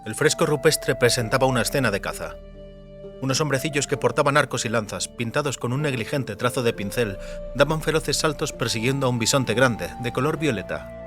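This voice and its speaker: A man's voice: medium pitch, lively intonation, brisk. Male audiobook narrator over faint music. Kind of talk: scripted literary narration.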